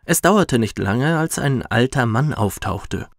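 Slow, expressive male bedtime storyteller. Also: medium pitch, no echo, studio quality. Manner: gentle, expressive. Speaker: professional narrator. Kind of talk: scripted bedtime story narration for children.

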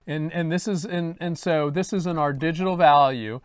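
A man in his fifties teaching to camera in a deliberate, emphatic way, checking in with rhetorical questions. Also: fairly high pitch, clear, resonant tone, no echo, clean studio sound. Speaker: instructor. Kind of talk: explanatory lecture to camera. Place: indoor studio with dark backdrop and lightboard. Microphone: lapel mic.

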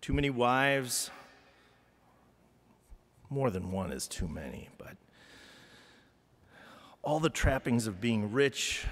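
A middle-aged man preaching a chapel sermon in measured, emphatic phrases with deliberate pauses. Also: medium pitch. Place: large chapel, indoors at a pulpit. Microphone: gooseneck lectern microphone. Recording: reverberant hall with long echo.

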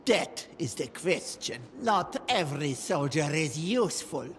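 A sinister old crone of the wild for a fantasy game. She speaks in slow, cryptic incantations, her pitch rising and falling dramatically, menacing and fierce, over noticeable background ambience. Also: very animated, a little hiss or hum. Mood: brooding, cryptic. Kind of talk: theatrical fantasy game voice lines.

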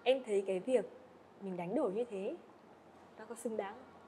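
A woman hosting a podcast interview, asking brisk, probing questions and often saying short "ừ" while the guest speaks. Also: medium pitch, mellow, a little hiss or hum. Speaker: podcast host and teacher. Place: indoor studio set.

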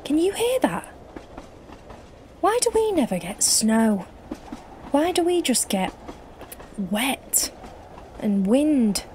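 Chatty wry young woman, lively pitch. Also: slow, pauses often, exaggerated voice. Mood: wry, confiding. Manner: conversational, confiding, wry. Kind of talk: immersive audio drama acting. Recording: faint train carriage noise.

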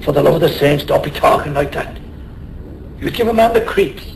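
A rough but tender-hearted Irish sailor in a radio play. His colloquial, blustery voice is gravelly and sits a little high. It rings in a roomy space over faint noise. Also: expressive, brisk, distant mic. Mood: gently chiding. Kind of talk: radio drama acting.

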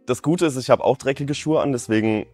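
A man's voice: medium pitch, brisk, a little mellow, dry recording, soft background music. A man in his twenties, a dating show contestant, chatting casually. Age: 20s. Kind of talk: casual chat.

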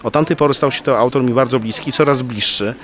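A man's voice: medium pitch, fast, fairly bright tone. A man in his forties reflecting on reading poetry, thoughtful and sometimes searching for words. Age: 40s. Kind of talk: personal reflection.

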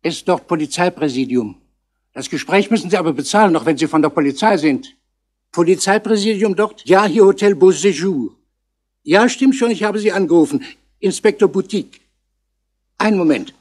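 A man's voice: high-pitched, lively intonation, moderate pace, darkish tone. An actor playing a fussy, matter-of-fact hotel man in a 1960s crime radio drama, pedantic and businesslike.

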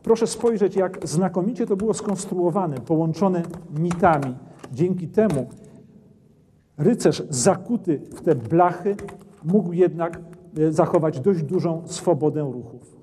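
A man's voice: a little high, measured pace, warm tone, big-room sound, a little hiss or hum. A middle-aged man presenting a history documentary, explaining armour in an explanatory way in a castle armoury, on a lapel mic. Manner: explanatory, points at armour as he speaks. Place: dim brick castle armoury, indoors.

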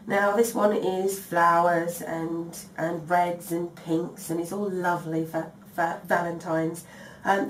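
A low-pitched woman in her thirties hosts a home sewing tutorial, her voice still rough from a cold. She chats casually and keeps filling gaps with ums, heard from a little way off in an echoey room. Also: slight background noise. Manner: chatty, many ums, apologetic. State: recovering from a cold, voice strained. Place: home dining room by garden windows. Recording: echoey room, slightly distant mic.

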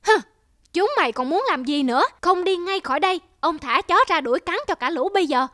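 Grumpy old cartoon shopkeeper. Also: male voice, very high-pitched, lively intonation, fairly quick, sharp tone, dry recording, studio quality, exaggerated voice. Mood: irritated scolding. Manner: scolding, grumbling. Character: grumpy, fussy old male shopkeeper, easily angered. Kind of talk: cartoon character acting. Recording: music under the voice.

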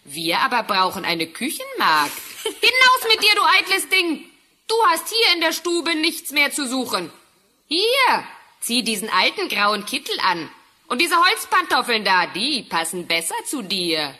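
A woman's voice: high-pitched, big pitch swings, a little slow, sharp tone, heavily acted. A woman playing a cruel, haughty young stepsister in a fairy-tale audio drama, scornful and bossy. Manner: scornful, commanding, cackling.

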